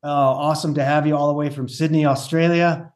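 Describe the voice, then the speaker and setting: Higher-pitched male podcast host. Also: flat delivery, fairly quick, a little mellow. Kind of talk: casual interview hosting.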